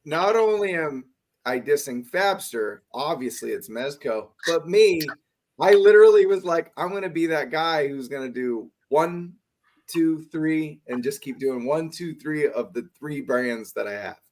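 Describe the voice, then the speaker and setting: A man's voice: on the high side, expressive, a little slow, a little mellow. A man hosting a casual talk show, teasing his co-hosts and often trailing off mid-thought. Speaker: podcast host. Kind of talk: casual chat.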